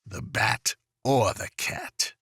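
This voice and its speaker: A man playing a cold, commanding aging mob boss who gives curt orders with quiet menace, in a husky, gravelly voice. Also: medium pitch, lively intonation, takes it slowly, a little hiss or hum, exaggerated voice. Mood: menacing. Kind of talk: motion comic voice acting.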